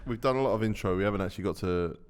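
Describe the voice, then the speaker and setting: A man's voice: lowish voice, rapid-fire, clean tone. A man co-hosting a casual chat show, reading out viewer comments in a dry, wry way.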